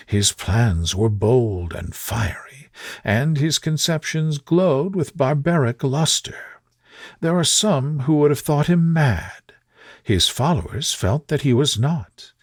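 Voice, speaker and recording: A man narrating a gothic bedtime story in a soothing, measured storytelling manner. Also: medium pitch, no echo, studio quality.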